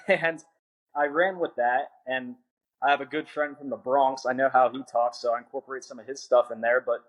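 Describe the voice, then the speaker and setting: A man's voice: mid-range voice, low-quality recording. Laid-back joking man guest. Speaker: author guest. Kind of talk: casual podcast interview.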